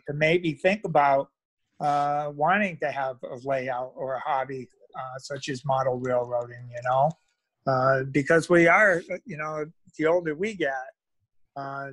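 Older man, slightly high voice, close and dry. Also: fairly bright tone, no room sound, low-quality recording. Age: older, retired. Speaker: meetup guest, model railroad hobbyist. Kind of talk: casual chat. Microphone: phone at arm's length on video call.

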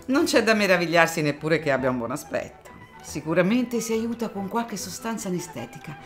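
A woman's voice: on the low side, lively intonation, over music, natural voice. A woman playing composed, determined older lady. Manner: polite, formal and measured. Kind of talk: amateur audio drama.

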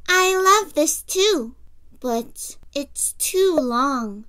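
A woman voicing a sweet, curious little girl in a children's puppet show, gentle and a bit hesitant. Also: high voice, lively intonation, very slow, exaggerated voice. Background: children's music.

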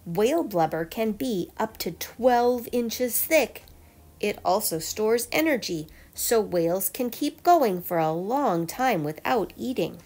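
A woman's voice: mid-range voice, lively intonation, takes it slowly, a little mellow. A woman reading a children's picture book aloud like a teacher, with emphatic storytelling delivery. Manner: clear storytelling delivery with emphatic questions and answers.

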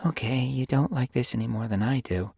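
Casting: level-headed, sharp-tongued young she-cat, voiced by a narrator, lifted pitch, dry and scolding, animal audiobook. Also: fairly quick, creaky, slightly rough, dry recording. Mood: wry, muttering.

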